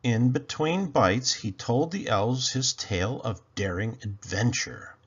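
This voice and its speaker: A man reading a children's storybook aloud as narrator, with expressive, deliberate and dramatic delivery. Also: mid-range voice, husky, muffled recording. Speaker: storybook narrator, channel host.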